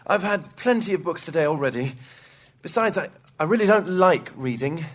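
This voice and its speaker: Male actor as a brash, higher-voiced boy. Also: animated, moderate pace, slight background noise, natural voice. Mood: grumbling. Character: reluctant, brash young boy who dislikes studies. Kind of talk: family audio drama acting.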